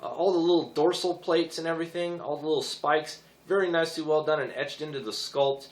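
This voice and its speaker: A hoarse young man rambling casually with many fillers as he reviews his toy figures. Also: fairly high pitch, fairly even intonation, fairly quick, reverberant.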